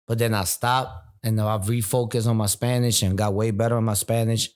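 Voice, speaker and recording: Brief: young male rapper, easygoing slang, lowish voice. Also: level tone, brisk. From Puerto Rico, raised in Philadelphia. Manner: laid-back street slang. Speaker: guest rapper. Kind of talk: casual chat. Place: bar.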